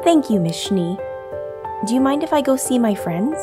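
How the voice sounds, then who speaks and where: A woman voicing an earnest, polite young female android soldier in an animated drama, with formal, sometimes hesitant phrasing. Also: fairly high pitch, moderate pace, over music, lightly acted. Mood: polite, confident. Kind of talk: animated-series voice acting.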